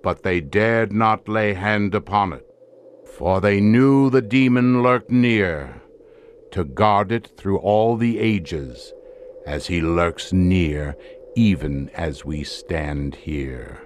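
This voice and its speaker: A man's voice: sits low, slow, a little hiss or hum. An actor playing a grave desert tribesman who solemnly tells of an ancient curse in archaic, portentous language for an audio drama. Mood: ominous. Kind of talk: theatrical audio drama storytelling.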